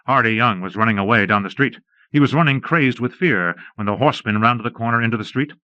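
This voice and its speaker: A man's voice: mid-range voice, fast, bright, forceful tone, no echo. A man reading scripted Western stories and history as an audiobook narrator. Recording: dull lo-fi cassette transfer.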